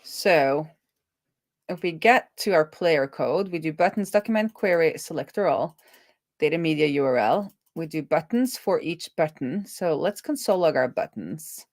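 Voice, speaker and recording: A woman with a fairly low voice talks through her code as she works on a livestream. She sounds casual and playful, with an animated delivery. The recording is dry and close, with little room sound. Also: normal speed, dry recording. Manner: animated, playful, breaks into laughter and song. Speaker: live-coding streamer, web developer. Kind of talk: casual think-aloud coding chat.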